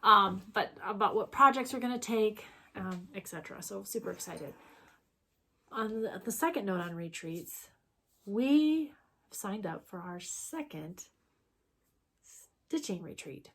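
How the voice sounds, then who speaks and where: A woman's voice: medium pitch, expressive, a little slow, pauses often, bright, forceful tone, husky. A woman, co-hosting a casual stitching chat, rambling and chatty with plenty of fillers. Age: 40s. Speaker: co-host.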